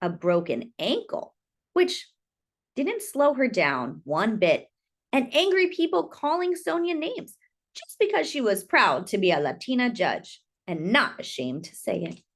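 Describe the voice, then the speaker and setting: A woman narrates a picture book for children in a fairly high voice. Her intonation is very lively, rising and falling widely. She phrases things deliberately and stretches key words for dramatic emphasis. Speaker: storyteller reading a picture book aloud. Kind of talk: expressive children's read-aloud narration.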